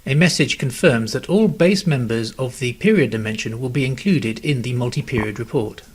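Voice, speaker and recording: A man narrating a scripted software tutorial in a measured, step-by-step instructional manner. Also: medium pitch, slight background noise.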